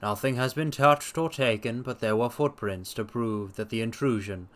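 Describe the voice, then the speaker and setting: A man voicing a sober Victorian doctor who recounts a strange incident in formal, measured storytelling. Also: medium pitch, a bit bright, natural voice. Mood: sober, concerned. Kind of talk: in-character narration of a detective story.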